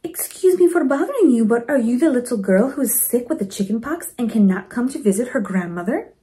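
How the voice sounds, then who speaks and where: Kindly storybook grandma, played by a woman reader: courteous, eager, pitched high with swooping, lively intonation. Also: a little fast, close to an everyday voice. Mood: polite, eager. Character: kindly, polite grandmother carrying food to her granddaughter.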